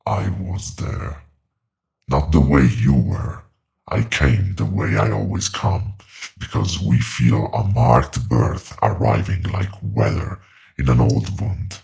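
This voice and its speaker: Ancient otherworldly male entity, horror audio drama. Extremely low, gravelly, solemn and relentless. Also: very animated, mellow, full character voice. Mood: solemn, confessional. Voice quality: very deep, gravelly creaking voice. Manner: slow, deliberate, ominous pronouncements.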